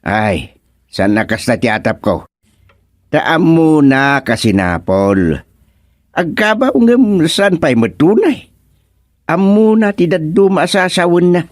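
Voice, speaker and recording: A man's voice: fairly high pitch, big pitch swings, slow, dry recording, studio quality. A man acting a concerned, inquisitive townsman in a radio comedy, drawing out questions in a northern Filipino accent.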